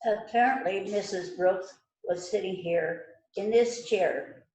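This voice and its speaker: A woman's voice: medium pitch, takes it slowly, a little mellow, lightly acted. A woman reading the part of a polite, methodical police detective in an amateur mystery play rehearsal. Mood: methodical, questioning. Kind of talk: amateur readers theater rehearsal, script reading. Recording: echoey room, distant mic.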